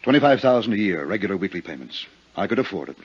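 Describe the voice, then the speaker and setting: An American actor playing a bitter, lovesick middle-aged murderer, speaking earnestly and haltingly in a 1950s radio drama. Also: male voice, fairly low pitch, very animated, husky, no echo. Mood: bitter confession. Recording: muffled 1950s radio recording.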